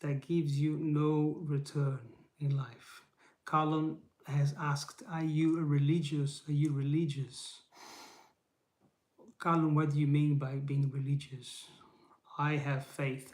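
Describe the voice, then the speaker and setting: A man's voice: mid-range voice, darkish tone, echoey room. A man whose first language is Portuguese, speaking in English to camera on his channel, earnest and encouraging, asking rhetorical questions and often adding "right?". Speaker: YouTube channel host. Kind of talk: casual live motivational talk to camera. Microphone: camera mic.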